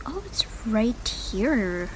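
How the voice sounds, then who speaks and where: A woman's voice: a little high, very animated, drawn-out words, noisy background. A woman giving casual commentary on a game as she plays, informal and slangy, thinking aloud. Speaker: streamer reacting to game story.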